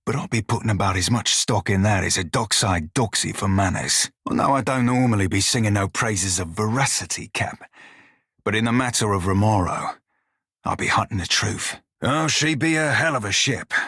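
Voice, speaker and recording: An actor playing a gruff, wry pirate shiphunter in a video game, with a rough-edged voice and folksy sailor dialect. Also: male voice, lowish voice, lively intonation, moderate pace, a bit bright, no echo, studio quality, exaggerated voice. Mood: wry, resolute, then proud. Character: salty blue-furred pirate shiphunter, former slave, gruff and wry. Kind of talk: video game character dialogue.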